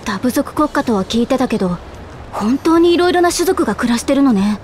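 A woman's voice: high voice, animated, brisk, darkish tone, a little hiss or hum. A woman voicing a curious, frank young woman traveler in a game.

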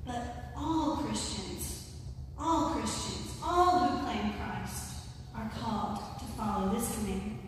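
A middle-aged woman giving a sermon at a lectern in a small chapel, measured and earnest, with rhetorical questions. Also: fairly high pitch, slight background noise. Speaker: lay preacher. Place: small chapel with high ceiling. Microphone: lectern mic from a distance. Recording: distant mic, echoey hall.

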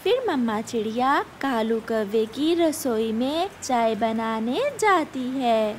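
Slow-paced woman narrating a children's story. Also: a little high, lively intonation, a little hiss or hum.

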